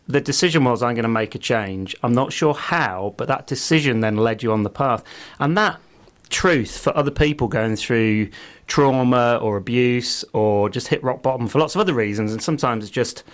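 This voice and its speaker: A man's voice: medium pitch, animated, normal speed. A man hosting a radio show, rambling and jokey as he chats with a guest. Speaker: radio host. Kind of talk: radio interview. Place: radio studio.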